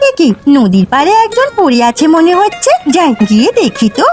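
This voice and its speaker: A woman's voice: very high-pitched, sing-song, moderate pace. A woman voicing an earnest young village woman in a cartoon, anxious to help and urgent in manner. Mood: alarmed. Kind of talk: Bengali cartoon voice acting. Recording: music behind the voice.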